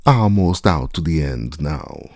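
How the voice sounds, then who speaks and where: A man's voice: rumbling low, animated, slow, darkish tone, dry recording, clean studio sound, full character voice. A man voicing an older male servant who is superstitious and stubborn, in drawling dialect speech, read as an audiobook character. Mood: wheedling.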